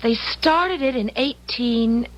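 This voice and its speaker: An actress playing a spirited, wry female time traveler with expressive, exclamatory old-time radio delivery. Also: fairly high pitch, takes it slowly, lo-fi sound. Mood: thoughtful, concerned. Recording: noisy old radio drama recording.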